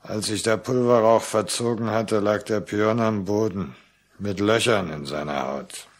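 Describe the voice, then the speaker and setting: An actor playing an easygoing rural postal messenger in a radio play, matter-of-fact and respectful. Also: male voice, on the low side, measured pace. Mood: cautioning. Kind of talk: German radio play acting.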